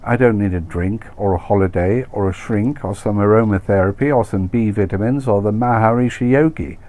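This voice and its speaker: A man's voice: lowish voice, a little fast, dark tone, lightly acted. A man voicing a brittle, betrayed expat wife, terse, bitter and impatient, in a comic story reading. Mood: exasperated. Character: Mrs Tregunter-Smythe, brittle, exasperated betrayed expat wife. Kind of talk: acted dialogue in comic short story reading.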